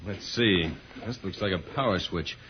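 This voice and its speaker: Casting: male actor as calm, authoritative space-adventure leader, earnest radio serial style, hissy dated recording. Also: low-pitched, normal speed, natural voice. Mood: absorbed, cautious. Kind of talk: 1950s radio serial acting. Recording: dull, noisy old radio recording.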